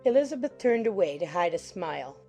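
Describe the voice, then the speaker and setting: A woman narrates an audiobook from a script. Her voice is lowish and mellow, with a good deal of creak. Soft music plays underneath. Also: sing-song, a little fast.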